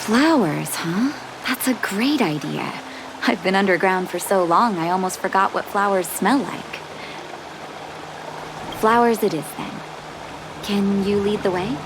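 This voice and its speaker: A woman playing a gentle, caring doctor in a video game, soft-spoken and measured, with a reflective, wistful manner. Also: medium pitch, lively intonation, background noise. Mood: pleasant, relaxed. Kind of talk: video game dialogue voice acting.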